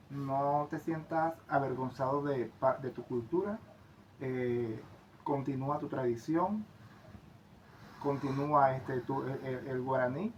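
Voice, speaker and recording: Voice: mid-range voice, a little mellow, highs cut off, slight background noise. A speaker from Venezuela, co-hosting enthusiastically with frequent fillers and restarts. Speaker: co-host of travel channel. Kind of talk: casual conversational commentary.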